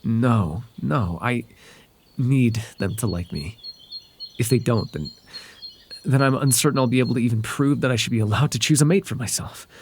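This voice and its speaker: A man voicing a whimsical, softly possessive male fairy in an intimate, hesitant, conversational ASMR roleplay. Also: mid-range voice, vocal fry, slightly rough. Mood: anxious, hesitant.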